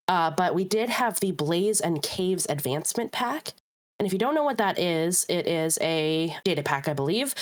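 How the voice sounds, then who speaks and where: A woman co-hosting a podcast in casual chat, upbeat and chatty, her voice a little rough and hoarse from a recent illness. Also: lowish voice. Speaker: podcast co-host.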